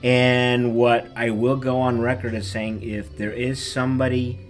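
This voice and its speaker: A man's voice: mid-range voice, level tone, unhurried, faint music behind. A man in his fifties from Ohio with a general American accent, chatting easily as an interview guest. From Ohio, USA. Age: 50s. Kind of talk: casual interview chat.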